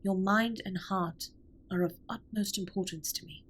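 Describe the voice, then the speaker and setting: A woman playing a formal, caring alien spaceship captain in an intimate audio drama, speaking in a measured, precise way with a breathy, husky voice. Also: medium pitch, steady tone, a bit bright, a little hiss or hum, lightly acted. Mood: sincere, formal. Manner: formal, measured, precise wording with few contractions. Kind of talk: scripted roleplay audio drama, intimate comfort monologue.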